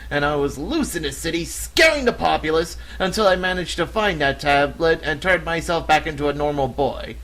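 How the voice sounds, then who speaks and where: A man's voice: on the high side, a bit bright, poor audio. A man in his thirties with an American accent, an amateur voice actor giving a question-and-answer monologue on a cheap microphone. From United States. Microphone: cheap flexible desktop microphone.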